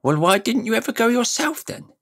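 A man's voice: very high-pitched, big pitch swings, fairly quick, gravelly, a bit bright, voice pushed up, full character voice. A man voicing a blunt, indignant young schoolboy in a storybook reading. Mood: defiant, indignant. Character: angry, defiant young schoolboy. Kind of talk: audiobook character voice.

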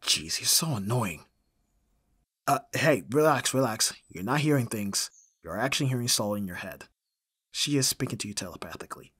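A man playing a gruff but caring young roommate in an audio roleplay, casual and dryly grumbling, with a slightly husky voice. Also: medium pitch, lively intonation, normal speed, a bit bright, no echo, studio quality. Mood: annoyed, reassuring. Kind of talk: ASMR audio roleplay acting.

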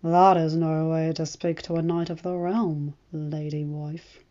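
A woman voicing a young male knight, earnest and defensive, in a low-key, warm-hearted way, read as a storybook. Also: level tone, unhurried. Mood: tender, teasing. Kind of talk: storybook reading. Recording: slightly dull lo-fi recording.

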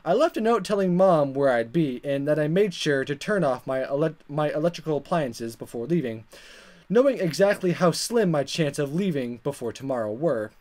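A man's voice: a little high, normal speed. A man reading a visual novel aloud in a playful, dramatic style, with joking asides and stumbles. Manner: animated, chuckling, stumbling over the text. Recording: music playing behind. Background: game music.

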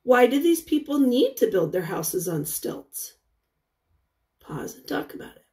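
A woman in her forties reading a picture book aloud as a teacher, pausing to put questions to young children in a deliberate, sing-song way. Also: on the high side, sing-song, darkish tone.

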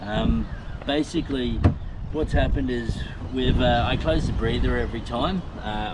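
A middle-aged man in a small boat outdoors, chatting practically about repairs to an action camera. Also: medium pitch. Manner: chatty, practical, self-mocking. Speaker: sailing vlogger. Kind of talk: casual how-to explanation. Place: small inflatable boat on a marina canal outdoors. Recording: loud wind and water noise outdoors. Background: wind and water noise.

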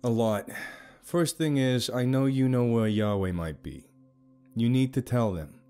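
A man's voice: mid-range voice, measured pace, mellow, smooth, faint music behind, close to an everyday voice. A man playing a wry, candid young man, the casual and sardonic hero of an audio story. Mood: earnest.

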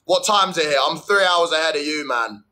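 A man's voice: on the high side, lively intonation, bright, forceful tone. A brash young man, hosting a livestream from his phone indoors and bantering crudely with viewers. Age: young adult. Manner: brash, swearing, fast slang banter. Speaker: livestream host. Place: indoor room. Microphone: phone at arm's length.

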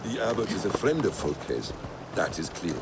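Articulate, animated male assassin storyteller. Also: low voice, normal speed, noisy background. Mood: matter-of-fact. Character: measured, wary male medieval assassin companion, storytelling and stern. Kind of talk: video game character acting.

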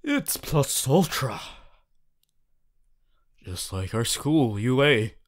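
A cheery young male superhero student, played by a man in an audio roleplay. His pitch swings widely and he takes his time, halting and then declaiming with boisterous, theatrical heroism. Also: very animated. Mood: earnest, upbeat. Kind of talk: ASMR audio roleplay to a listener.

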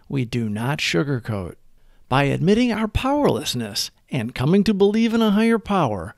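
A man giving a scripted devotional reading as narration, with measured, emphatic phrasing. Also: fairly high pitch, gravelly, no echo, studio quality. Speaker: reader of daily recovery reflections.

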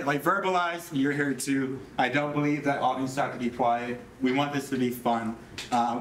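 A young man hosting a live event, talking to an audience in an animated, conversational and inviting way. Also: mid-range voice, slight background noise. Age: young adult. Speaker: event host. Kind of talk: informal live introduction to an audience. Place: indoor rehearsal hall in front of an audience. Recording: echoey room with audience reactions.

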